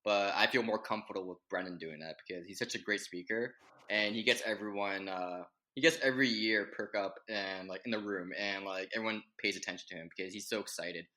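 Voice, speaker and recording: Reserved, monotone young man, bright-toned. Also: fairly low pitch, brisk, poor audio. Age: young adult. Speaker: guest. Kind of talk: casual podcast chat.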